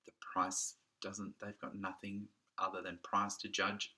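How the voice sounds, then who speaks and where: A man with a very low-pitched voice that has a bright, forceful edge. He talks like a coach giving informal instruction, conversational yet emphatic, addressing the listener directly. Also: completely flat delivery, unhurried. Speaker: photography business coach.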